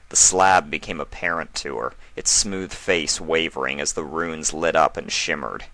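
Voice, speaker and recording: A man narrates a scripted story in a very low-pitched voice. The tone is bright and forceful, and the recording is dry, with no room around it. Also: fairly even intonation, normal speed, no room sound.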